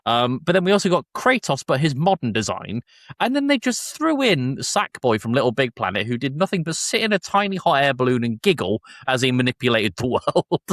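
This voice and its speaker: A man's voice: mid-range voice, big pitch swings, fairly quick, fairly bright tone, no echo, clean studio sound. A man hosting a podcast in casual review chat, with a sweary, exasperated ranting way of talking. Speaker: podcast host.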